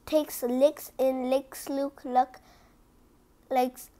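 High-pitched, slow storybook reader. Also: level tone, mellow. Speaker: read-aloud presenter. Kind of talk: animated picture-book read-aloud with casual asides to viewers. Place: indoors at home, book on a cloth.

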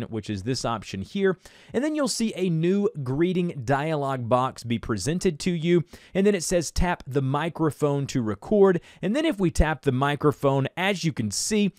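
A man's voice: mid-range voice, darkish tone, dry recording, clean studio sound. A man hosting a screencast tutorial, explaining steps in a casual, instructional way straight to the viewer. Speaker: tutorial host.

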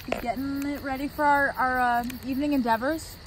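A young woman in her twenties trading goofy, deadpan jokes at a campsite at night. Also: sits high, brisk, slight background noise, low-quality recording. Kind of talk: casual camping vlog chat.

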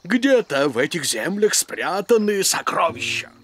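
An actor playing a boisterous, courteous elf pirate in a cartoon, with theatrical, drawn-out exclamations. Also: male voice, high-pitched, very animated, a bit bright, full character voice. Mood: adventurous. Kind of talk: cartoon dubbing.

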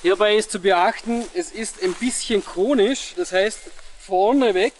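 A young man giving step-by-step instructions on pitching a tent in an outdoor meadow, pausing with fillers as he works. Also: a little hiss or hum. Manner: explains step by step with pauses and fillers. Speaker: product demonstrator.

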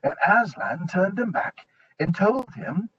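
A man's voice: high voice, lively intonation, takes it slowly, a bit bright, husky, voice pushed up, exaggerated voice. A man playing an eager, polite young fawn. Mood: informative. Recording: dull lo-fi recording.

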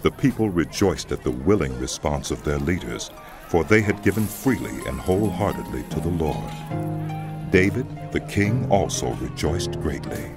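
Deep-voiced, expressive male scripture narrator. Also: normal speed. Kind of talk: dramatized scripture audiobook narration. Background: music.

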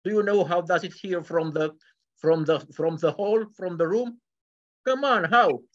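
A man's voice: sits high, a little slow, a bit bright. A middle-aged man, a co-host, telling a joke with hesitant restarts over a video call. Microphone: video call webcam. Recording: distant dull video call audio.

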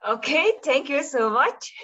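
A woman hosts a club meeting, posing questions and repeating them. She speaks slowly with a somewhat high pitch. The audio is dull and lo-fi. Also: very animated, sharp tone. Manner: unhurried, repeats her questions. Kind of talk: meeting moderation.

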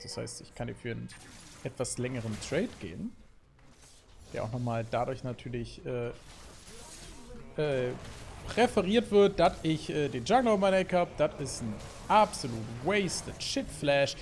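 A man in his thirties from Germany, commenting on his own gameplay in an excitable way, full of fillers, at a desk at home. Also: a little high, slight background noise. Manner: lively, excitable, talks through play with fillers. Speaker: gaming YouTuber. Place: home streaming setup, indoors.

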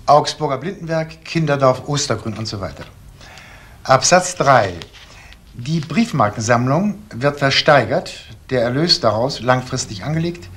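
An actor playing a formal notary in a crime radio play, speaking in a dry, measured, official manner. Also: male voice, mid-range voice, fairly bright tone, a little hiss or hum. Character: formal, precise notary reading out documents.

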